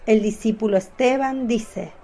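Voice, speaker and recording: A woman narrating a radio drama in a calm, measured storytelling manner. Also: on the high side.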